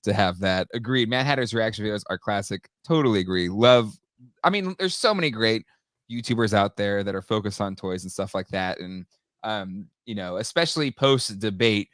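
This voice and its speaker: A man's voice: on the low side, animated, normal speed, fairly bright tone, no echo, clean studio sound. A man in his thirties or forties co-hosting a live toy show, slightly nasal from a cold, chatty and upbeat with many fillers. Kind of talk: casual live-stream chat.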